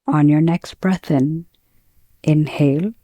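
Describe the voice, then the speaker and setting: Woman meditation teacher, really slow n calm, soft mellow tone, dry close sound. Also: low-pitched, dry recording. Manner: calm, measured, gentle delivery with long pauses. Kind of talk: guided meditation.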